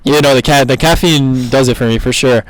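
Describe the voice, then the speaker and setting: A young man of about twenty rattling off his thoughts at a quick clip, loose and casual, dropping "like" and "bro" all through. His voice sits at an ordinary middle pitch. The sound is close and dry, with no room around it. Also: no echo, low-quality recording. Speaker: videographer and new co-host. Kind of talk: casual chat.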